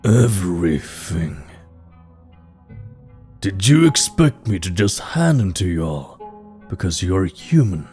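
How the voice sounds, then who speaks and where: Man acting as grave stone-folk king, low voice, unhurried, formal, proud, fantasy audio play. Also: sing-song, darkish tone, full character voice. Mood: indignant, haughty. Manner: stately, formal, deliberate. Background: background music.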